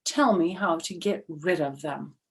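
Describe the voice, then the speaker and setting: A woman's voice: on the low side, unhurried. A woman voicing a brave, confident male folk hero from a storybook, with bold, emphatic delivery.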